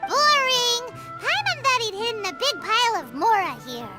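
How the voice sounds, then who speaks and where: A woman voicing a tiny floating female companion in a game, blunt, bossy and excitable, who speaks of herself in the third person. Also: very high voice, normal speed. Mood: curious. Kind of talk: video game cutscene dialogue. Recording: game cutscene with loud music behind.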